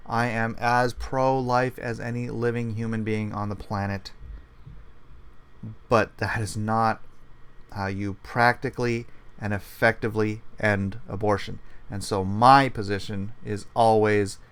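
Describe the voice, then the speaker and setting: Slow, deliberate male commentary host. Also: on the low side, level tone, a bit bright, clear, resonant tone. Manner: earnest, argues step by step, repeats key points. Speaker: host reading viewer comments. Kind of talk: opinionated commentary show.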